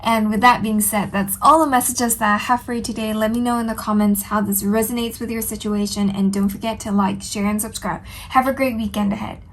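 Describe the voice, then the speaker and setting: A woman doing a tarot reading, sounding slightly nasal from a cold, speaking gently and earnestly with frequent ums. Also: fairly high pitch, steady tone, fairly quick, hardly any pauses. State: stuffy nose from a cold. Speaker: tarot reader. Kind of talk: solo tarot reading to camera.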